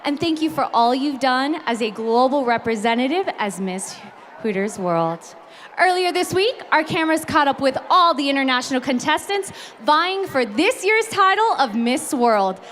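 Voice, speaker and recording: A woman hosting a pageant, announcing contestants in a loud, projecting voice on a large stage. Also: sits high, lively intonation, moderate pace, a little hiss or hum. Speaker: pageant host. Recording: live event with loud music and crowd. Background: loud music and cheering crowd.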